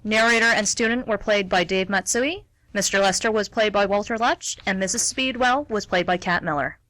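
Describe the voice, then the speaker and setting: A woman's voice: medium pitch, normal speed, a bit bright, no echo, poor audio. A woman reading out scripted credits as an announcer for an amateur radio theatre show.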